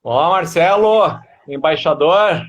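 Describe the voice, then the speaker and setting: Husky, high-voiced male live-stream host. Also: expressive, slow, highs cut off. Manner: welcoming, greets viewers by name. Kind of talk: hosting a motivational live stream.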